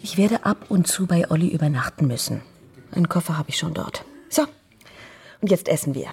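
Very husky, seductive scheming wife. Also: female voice, low-pitched, expressive, a little fast, a little mellow, heavily acted. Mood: cool, manipulative. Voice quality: very husky, breathy. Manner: coaxing, bossy. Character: scheming, seductive unfaithful wife, cool and self-assured. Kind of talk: radio crime drama acting.

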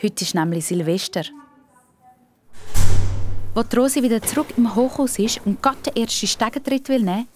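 A woman's voice: on the high side, moderate pace, pauses often, faint music behind. A woman with a Swiss accent narrating a scripted children's story as part of a radio play.

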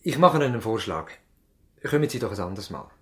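An actor with a Swiss German accent playing a busy, courteous, harried country doctor in a seventies radio play. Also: male voice, on the low side, sing-song, quick pace, fairly bright tone. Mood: harried, apologetic. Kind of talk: 1970s radio play acting. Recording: roomy studio radio play sound.

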